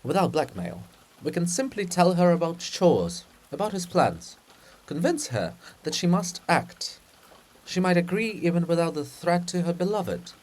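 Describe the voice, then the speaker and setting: A man's voice: on the high side, very animated, a little slow, a little mellow, low-quality recording. A man playing a resolute, scheming scholar's statue with emphatic, rhetorical delivery in a drama-farce reading. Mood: persuasive.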